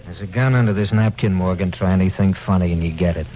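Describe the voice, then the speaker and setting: An actor plays a sneering American gangster in a vintage radio crime drama. His voice sits fairly low, and he talks in slangy threats, a tough swindler used to bullying people. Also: fast talker, mellow, natural voice. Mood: menacing. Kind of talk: old-time radio detective drama acting.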